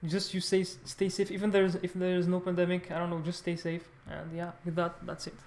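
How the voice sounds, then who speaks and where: A man talks off the cuff to his viewers. He speaks haltingly, circling back and restarting his phrases as he rambles. The recording has a clear room echo around his voice. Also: high voice, completely flat delivery, a little mellow, slight background noise. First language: French. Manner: halting, rambling, repeats words and corrects himself often. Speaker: vlogger, language learner. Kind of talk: casual unscripted monologue to viewers.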